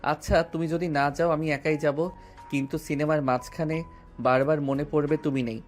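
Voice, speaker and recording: A man's voice: a little high, level tone, moderate pace, lightly acted. An actor playing a playful, persistent young man who courts a woman with teasing affection. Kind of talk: Bengali audio drama acting. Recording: music underneath.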